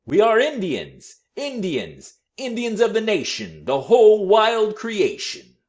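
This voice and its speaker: A man's voice: sits high, huge pitch swings, takes it slowly, muffled recording, voice pushed up, heavily acted. A man voicing a proud, dedicated chief of a parading tribe in a storybook, with emphatic, chanting delivery. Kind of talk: storybook character voice.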